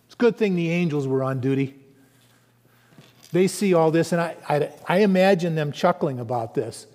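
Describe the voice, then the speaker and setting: High-voiced male preacher in a reverberant hall. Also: normal speed. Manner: animated preaching with conversational asides. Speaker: pastor. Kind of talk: sermon.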